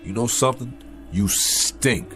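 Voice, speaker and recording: An actor playing a bitter, short-tempered aging boxer in a radio drama, talking in blunt street vernacular. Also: male voice, medium pitch, a little slow, pushed and bright, soft background music. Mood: gruff.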